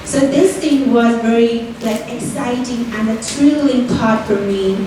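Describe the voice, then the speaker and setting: A woman's voice: on the high side, unhurried, noisy background. A young woman from Nepal with a Nepali accent gives a prepared speech as a student speaker, earnest and peppered with "like" and "you know". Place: university event hall. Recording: roomy hall audio from far off.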